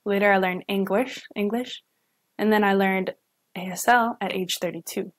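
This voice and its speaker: A young woman, a student interpreter, voicing a signed lecture live at home into a webcam, pausing and correcting herself as she goes. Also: medium pitch, steady tone. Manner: halting, with self-corrections and restarts. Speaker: student sign language interpreter.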